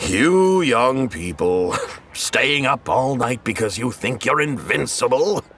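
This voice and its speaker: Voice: medium pitch, sing-song, takes it slowly, fairly bright tone, heavily acted. An actor playing a talkative, jovial townsperson who fancies being older and wiser, with theatrical, teasing delivery in an anime video game. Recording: game music behind voice.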